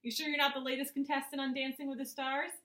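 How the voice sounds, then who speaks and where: A woman's voice: sits high, little pitch movement, quick pace, bright, forceful tone, echoey room, voice pushed up. A woman voicing a genial, teasing, mildly grumbling suburban dad in a children's story.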